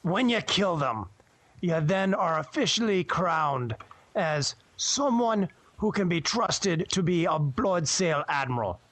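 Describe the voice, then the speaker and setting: A man's voice: a little high, lively intonation, takes it slowly, a bit bright, dry recording, full character voice. A man playing a jovial, swaggering pirate guide who rambles through advice in piratey phrasing for a radio show. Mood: proud, jovial.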